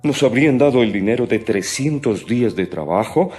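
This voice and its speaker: A man's voice: mid-range voice, animated, moderate pace, darkish tone, natural voice. A man playing an indignant, protesting disciple in a dramatized audio Bible. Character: Judas Iscariot, thieving male disciple objecting indignantly.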